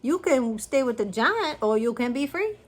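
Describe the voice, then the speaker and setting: A woman's voice: sits high, very animated, moderate pace. A woman voicing an eager, cheeky young boy in a rhyming storybook. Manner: bright and eager.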